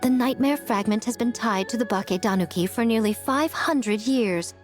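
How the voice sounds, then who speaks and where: A woman's voice: on the high side, normal speed, faint music behind, close to an everyday voice. A woman playing a sly, teasing priestess in a video game, with a wry and theatrical delivery. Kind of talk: video game story dialogue.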